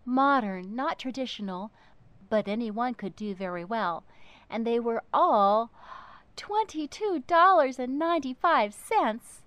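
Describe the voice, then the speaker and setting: A woman narrating a children's book in an expressive, theatrical way, with dramatic emphasis and pauses. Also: on the high side, dry recording.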